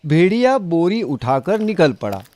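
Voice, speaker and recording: Animated, higher-pitched male children's storyteller. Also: unhurried, no echo. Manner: expressive, dramatic storytelling. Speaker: storyteller narrator. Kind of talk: scripted children's story narration.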